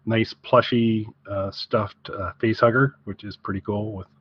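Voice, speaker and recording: A man co-hosting a podcast in casual conversation, speaking haltingly and repeating words as he goes. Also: fairly low pitch, steady tone, breathy edge, lo-fi sound. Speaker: podcast co-host.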